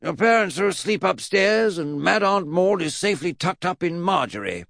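A man's voice: high-pitched, expressive, moderate pace, vocal fry, fairly bright tone, no room sound, clean studio sound, voice pushed up, heavily acted. A man voicing an eccentric, blustering old great-uncle in a comic children's audiobook, pompous and emphatic. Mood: urgent, blustering. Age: older.

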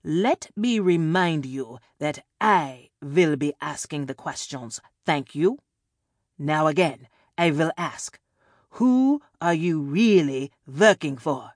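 A woman's voice: deep voice, lively intonation, takes it slowly, dry recording, clean studio sound, exaggerated voice. A woman voicing a smug, sarcastic male villain interrogator in an audiobook, mock-polite and menacing, with theatrical, taunting delivery. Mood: sarcastic, irritated.

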